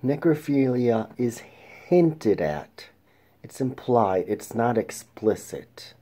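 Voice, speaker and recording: Guy doing a film review show, slow and mellow, keeps restarting and clearing his throat. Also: medium pitch, expressive. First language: English. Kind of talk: casual film review monologue.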